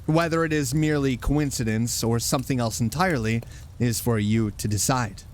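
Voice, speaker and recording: A man's voice: mid-range voice, moderate pace. Male horror host with faint background noise. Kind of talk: scripted campfire-style horror introduction.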